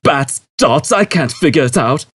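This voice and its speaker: A man's voice: on the high side, lively intonation, a little slow, a bit bright, breathy edge, no room sound, studio quality, pitched above the performer's own voice, exaggerated voice. A man voicing a brash, impatient male space rogue in an audiobook, with a slangy, drawling, exclamatory way of talking. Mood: exasperated. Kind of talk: audiobook character voice.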